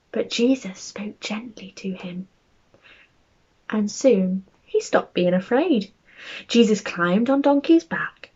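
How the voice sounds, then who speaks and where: A woman reads a children's bedtime story in a husky, breathy voice at an unhurried pace. She is gentle and expressive, speaking straight to her young listeners. The recording is slightly dull and lo-fi. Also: medium pitch. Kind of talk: children's bedtime story read aloud with friendly chat.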